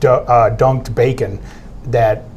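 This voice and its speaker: A man in his thirties speaks in an upbeat, easy way, running through beer picks with the odd um. His voice sits at a middle pitch. Faint room noise hangs behind him. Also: steady tone, a little mellow, highs cut off. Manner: upbeat, quick recommendations, filler ums. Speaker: beer review co-host. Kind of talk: casual tasting chat. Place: office room with a TV screen, indoors.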